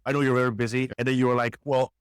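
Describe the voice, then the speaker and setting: Male host, mid-pitched, dry close mic, relaxed filler-heavy questioning. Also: racing, clear, resonant tone, no room sound. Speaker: podcast host. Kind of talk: casual interview conversation.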